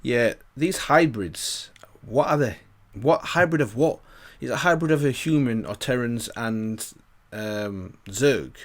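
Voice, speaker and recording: A man's voice: mid-range voice, expressive, a little slow, few pauses. A man hosting a reaction video, musing aloud in casual commentary and repeating himself as he thinks.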